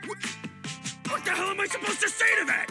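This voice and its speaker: An actor playing a flustered, humble working-class bandit extra in a video game, gravelly and blustering. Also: male voice, extremely high pitch, animated, quick pace. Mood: exasperated. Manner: blustering, apologetic, hesitant. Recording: music behind.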